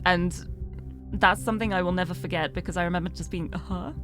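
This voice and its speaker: A woman's voice: fairly low pitch, steady tone, fast, over music. A young British woman from the UK, chatting in a rambling, self-deprecating way while streaming a game. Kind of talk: casual chat while gaming live.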